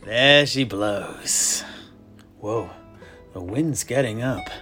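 A man's voice: fairly low pitch, very slow, pushed and bright, faint music behind, full character voice. A man playing a tavern keeper and amateur historian who hosts guests in a fantasy audio play. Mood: hearty. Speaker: host.

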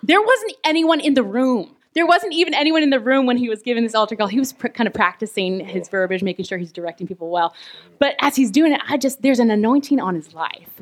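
A woman's voice: on the high side, lively intonation, quick pace. Heartfelt woman co-preaching. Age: 30s. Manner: warm, heartfelt, laughing often. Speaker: pastor's wife, co-preacher. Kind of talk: sermon. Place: church service.

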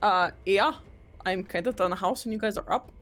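A woman's voice: medium pitch, moderate pace. A woman playing in a tabletop game, chatting in character in a bubbly, cheerful, slightly ditzy way. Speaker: player. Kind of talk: casual in-character table chat.

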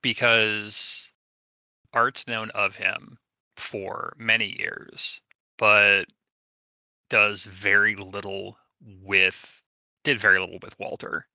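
A man's voice: lowish voice, level tone, slow, sharp tone, dry recording, dull audio. A man playing a tabletop roleplaying game, talking casually and thinking aloud as he rephrases his ideas.

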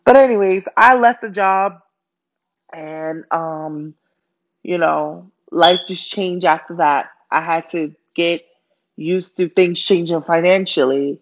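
Deep-voiced jamaican woman, young, rambling friendly podcast chat, kinda muffled lo-fi sound. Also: takes it slowly. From Jamaica, raised in Brooklyn. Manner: chatty, friendly, many fillers and "okay?" check-ins. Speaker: podcast host. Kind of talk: casual solo podcast monologue.

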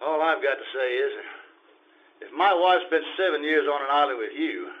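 An actor playing a flustered, jealous husband in a radio comedy on stage, stammering and sarcastic. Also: male voice, a little high, normal speed, close to an everyday voice. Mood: wry, needled. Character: flustered, jealous husband caught between two wives. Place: live stage show at an arts center. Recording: dull, noisy live theatre recording.

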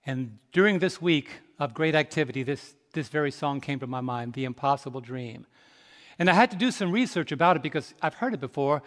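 Pastor guy in his 50s preaching, high-pitched, asks the crowd questions, goes soft then loud, big echoey church hall. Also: lively intonation. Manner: preaching with call-and-response questions to the congregation; swings from soft, slow reflection to loud, rising exhortation. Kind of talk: sermon. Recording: long reverb in a large hall.

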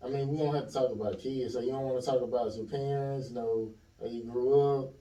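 A man interviewer asking relaxed, halting questions in a casual chat. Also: medium pitch, highs cut off. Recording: roomy, distant from the mic.

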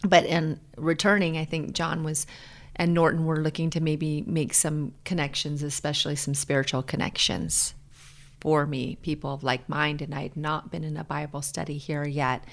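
A middle-aged American woman speaking earnestly and in a measured way as a guest in a reflective personal interview. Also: low-pitched, little pitch movement, creaky. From Hawaii, USA.

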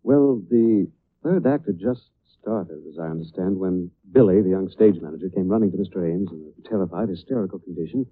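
An actor playing a composed, resolute, urbane gentleman detective in a 1940s radio style. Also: low-pitched, brisk. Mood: calm, explanatory. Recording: tinny band-limited old radio broadcast.

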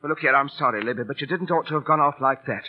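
An actor playing a hurt, proud street busker with a husky voice in an old radio drama. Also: male voice, mid-range voice, rapid-fire, lightly acted. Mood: wounded pleading. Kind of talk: 1940s radio play acting. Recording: dull, lo-fi old radio recording.

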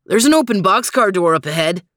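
A woman plays an older French dog detective from a storybook. He is bossy and excitable, barking and gasping his exclamations. The recording is dry and close. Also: lowish voice, moderate pace, no echo. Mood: urgent. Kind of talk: storybook character reading.

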